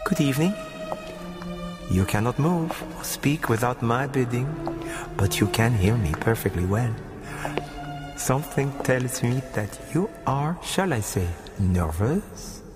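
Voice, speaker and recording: An actor playing a sinister, leisurely murderer in a radio horror drama, speaking formally and precisely with mocking courtesy, with music under the voice. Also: male voice, mid-range voice, big pitch swings, measured pace, big-room sound. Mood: icily polite. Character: suave, sinister male murderer, icily polite and leisurely.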